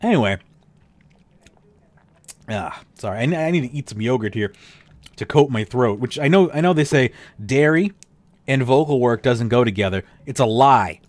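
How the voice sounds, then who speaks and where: A man's voice: medium pitch, normal speed, pauses often, dry recording. A man hosting a casual podcast, reading out game statistics with sarcastic asides, his voice a little worn from losing it. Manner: animated, sarcastic asides, trails off with fillers. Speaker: podcast host.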